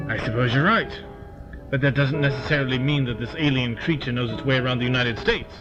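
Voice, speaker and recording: An actor playing a brisk, patient military colonel in an audio drama, with a firm, matter-of-fact delivery. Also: male voice, medium pitch, natural voice. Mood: doubtful. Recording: dull lo-fi audio drama, loud music underneath.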